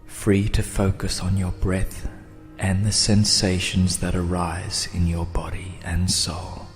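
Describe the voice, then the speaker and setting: A man narrating a scripted guided meditation in a soothing, measured manner, with long pauses and soft, breathy phrase endings. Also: deep voice, completely flat delivery, soft background music.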